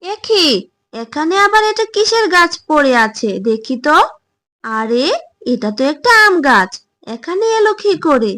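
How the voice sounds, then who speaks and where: Kind, motherly cartoon jackal, female, high-pitched and gentle woman performer. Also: big pitch swings, a little slow, full character voice. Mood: curious, pleased. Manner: gentle, motherly, thinking aloud. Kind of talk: Bangla cartoon fable voice acting.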